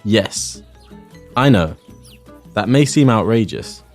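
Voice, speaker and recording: Language-course host, London man, deep and expressive, unhurried, patient with learners. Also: faint music behind. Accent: London English. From England. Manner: friendly, clear, teaching pace with repeat-after-me pauses. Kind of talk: presenting a Spanish lesson. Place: outdoors in a public garden.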